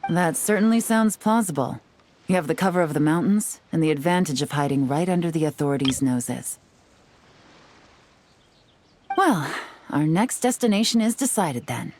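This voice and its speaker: A woman's voice: deep voice, expressive, a little fast, darkish tone, a little hiss or hum. An actress playing a shrewd, composed female investigator in a video game, speaking in an articulate, methodical, confident way. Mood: approving, confident. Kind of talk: scripted game dialogue.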